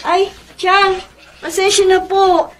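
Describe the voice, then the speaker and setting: A woman playing a gentle, meek young pregnant wife in a Filipino radio drama, polite and pleading. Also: very high-pitched, flat delivery, slow. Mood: apologetic, pleading.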